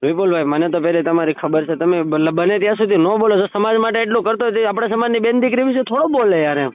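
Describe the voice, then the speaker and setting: Man, high voice, casual rapid phone talk, dry muffled line. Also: no room sound. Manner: repeatedly seeks agreement with tag questions. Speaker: caller. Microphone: phone call. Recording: dull, lo-fi phone call recording.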